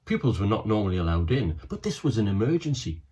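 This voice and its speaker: A man reads a children's storybook aloud, letting his voice swoop up and down with lively expression. He adds chatty asides to his listeners between the lines of the story. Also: lowish voice, quick pace. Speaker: author reading his book.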